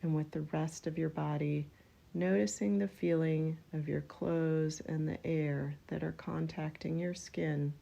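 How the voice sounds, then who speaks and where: Slow, low-voiced woman guiding meditation. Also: steady tone, gravelly, highs cut off. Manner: slow, gentle, unhurried instruction with spaced-out phrases. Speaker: yoga nidra meditation guide. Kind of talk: guided relaxation meditation.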